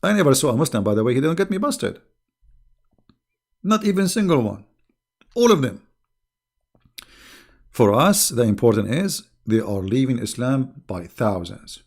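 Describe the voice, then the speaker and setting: Gravelly man mocking his critics. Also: medium pitch, normal speed, long pauses. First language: Arabic. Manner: animated, mocking, scornful. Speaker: online religious debater. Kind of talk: casual monologue to viewers.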